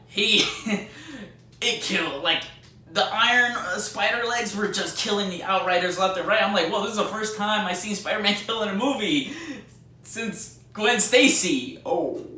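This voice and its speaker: A man giving a casual movie review as a vlogger, talking like an excited fan with plenty of slang. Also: on the high side. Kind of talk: casual spoiler-filled movie review chat. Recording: echoey room, distant mic, faint noise.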